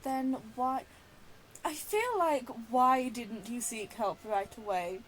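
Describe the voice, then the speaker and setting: A woman's voice: a little high, slow pace, a little hiss or hum, poor audio. A woman giving casual gameplay commentary, chatty and reactive with wry asides. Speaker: gaming video host reacting while playing.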